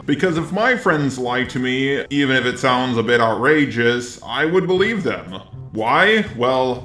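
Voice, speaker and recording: A man's voice: medium pitch, fairly bright tone. Synthetic male robot voice with roomy echo. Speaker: video commentator. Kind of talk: scripted video commentary.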